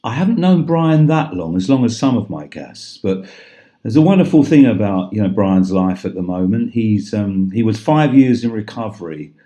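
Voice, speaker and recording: A man hosting a radio interview show, chatty and halting, with frequent agreeing interjections. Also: fairly low pitch, big pitch swings, dark tone. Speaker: host. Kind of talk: casual interview.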